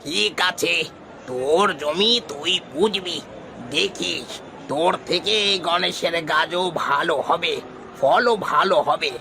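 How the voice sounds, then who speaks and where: Cartoon village merchant, high voice, greedy and pushy male performer. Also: takes it slowly, creaky, pushed and bright, a little hiss or hum, exaggerated voice, low-quality recording. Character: pushy, greedy village fertiliser shopkeeper Nagen. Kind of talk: Bengali animated moral tale dialogue.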